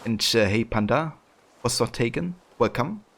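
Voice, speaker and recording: A man with a German accent, a native German speaker, chatting casually while streaming, relaxed and rambling with many fillers. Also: medium pitch, normal speed, creaky, slight background noise. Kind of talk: casual live-stream commentary.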